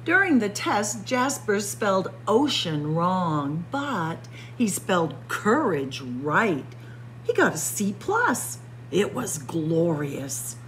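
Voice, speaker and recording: Slow, expressive woman reading a storybook. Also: medium pitch, slight background noise, rough recording. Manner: suspenseful, expressive, drops to hushed whispers for emphasis. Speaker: librarian reading aloud at story time. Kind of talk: dramatic picture-book read-aloud. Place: indoor library room with bookshelves and windows.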